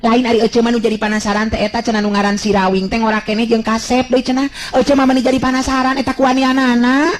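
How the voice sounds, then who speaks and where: Lady doing a smitten young village girl, highish voice, exclaims a lot, bit of background noise. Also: level tone, quick pace, lightly acted. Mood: curious. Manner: gushing, exclaiming, colloquial.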